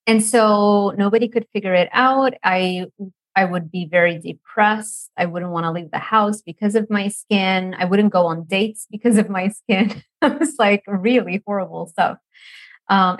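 A woman's voice: mid-range voice, no room sound. A woman in her thirties from Lebanon, a nutrition professor telling her story as an interview guest, chatty, with frequent laughs and restarts. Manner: chatty, laughs often, many fillers and restarts.

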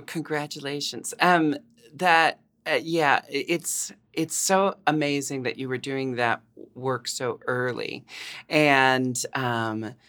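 A woman's voice: extremely low pitch, steady tone, slow pace, a bit bright. A woman hosting a podcast interview in casual chat, laughing often and restarting her sentences. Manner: giggly, frequent laughs and restarts. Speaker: podcast host.

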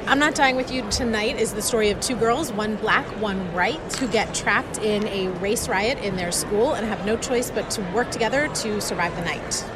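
A woman's voice: medium pitch, normal speed, hardly any pauses. A woman guest chatting lightheartedly in an interview, as an author. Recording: loud urban ambient noise behind.

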